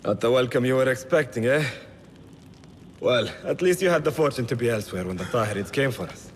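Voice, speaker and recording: An actor playing a mortally wounded warrior in a video game, halting and solemn, loyal and resigned to his fate. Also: mid-range voice, lively intonation, a little hiss or hum, natural voice. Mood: weary, resigned. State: weak, bleeding to death. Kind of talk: dramatic video game acting.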